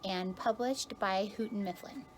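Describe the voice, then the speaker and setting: A woman's voice: mid-range voice, measured pace, a little hiss or hum, poor audio. A woman teacher reads a children's picture book aloud expressively, drawing out key words for emphasis. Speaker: kindergarten teacher reading aloud.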